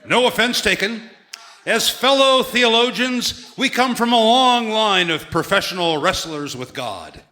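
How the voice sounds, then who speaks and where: A man's voice: high voice, animated, measured pace, bright, forceful tone, heavily acted. A man playing an earnest, easily baffled megachurch pastor in a live radio comedy sketch. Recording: reverberant auditorium stage.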